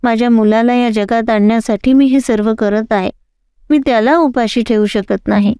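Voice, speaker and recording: A woman's voice: moderate pace, warm tone, smooth, dry recording, studio quality, natural voice. A woman voicing a young pregnant rickshaw driver who is meek and pleading, in a cartoon story. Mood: earnest. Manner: pleading, high-pitched.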